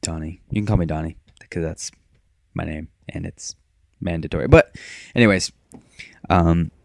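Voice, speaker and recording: A teenage boy from Maine rambling in a self-described monotone, talking casually over his gameplay with frequent restarts. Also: low voice, moderate pace, creaky, no echo. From Maine, USA. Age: teens. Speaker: gaming commentator.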